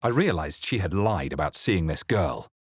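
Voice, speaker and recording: An actor playing a grave, wary male fantasy adventurer, delivering dramatic video game lines in a measured way. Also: low voice, very animated, slightly rough, no echo, clean studio sound, exaggerated voice. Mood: grave, tense. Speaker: video game player character.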